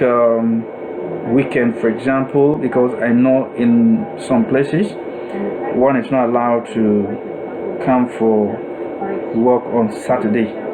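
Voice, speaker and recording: A man narrating a step-by-step software tutorial in a deliberate, instructional way, repeating and rephrasing himself as he goes. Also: mid-range voice, background noise.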